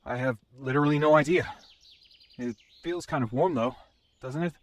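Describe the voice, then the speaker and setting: A man's voice: mid-range voice, moderate pace, lightly acted. A man playing an earnest, polite young man in a robot's body in an audio drama. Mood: puzzled.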